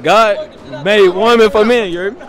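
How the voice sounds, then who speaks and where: A man's voice: high-pitched, normal speed. A young man doing a brash, slangy, profane street interview on a handheld mic in a large indoor cafeteria. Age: young adult. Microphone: small handheld mic. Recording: busy room crowd chatter.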